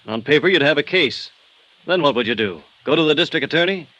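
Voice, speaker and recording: Uneasy American informant, tense bright voice. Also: male voice, a little high, expressive, fast, poor audio. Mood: bitter. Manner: tense, reluctant. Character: uneasy, fearful building expert, adult man, resigned and bitter. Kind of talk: 1950s American radio drama acting.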